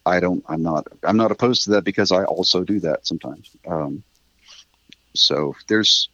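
A middle-aged man with a very low, bright-edged voice talks as a guest in a relaxed interview. He wanders through his thoughts, correcting himself along the way. The sound is close and dry, though slightly muffled. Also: moderate pace, dry recording. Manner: rambling, thoughtful, self-correcting. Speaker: interview guest, writer of a radio drama. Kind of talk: casual reflective interview chat.

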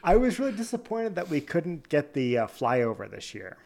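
A man's voice: a little high, very animated, normal speed, a little mellow. A man hosting a podcast in a casual mock awards-ceremony style, playful and mock-formal. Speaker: podcast host presenting joke awards.

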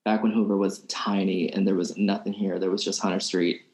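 A young man from Alabama, a podcast guest musician, talking in a soft-spoken, hesitant way with many ums. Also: sits low, little pitch movement, a little fast. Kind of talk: casual interview chat. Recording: roomy echo.

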